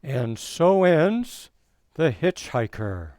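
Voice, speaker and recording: Male radio theater announcer, formal, deliberate, slow pace, very varied intonation, clear guitar music behind. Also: a little high, sing-song. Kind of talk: scripted radio theater credits.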